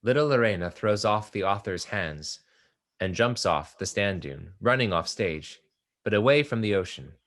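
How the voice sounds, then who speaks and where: A man's voice: lowish voice, lively intonation, moderate pace, a bit bright. A man narrating the stage directions of a play from a script.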